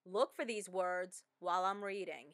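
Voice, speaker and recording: A woman reading a rhyming children's story aloud with expressive, sing-song delivery. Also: on the low side, normal speed. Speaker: storybook reader.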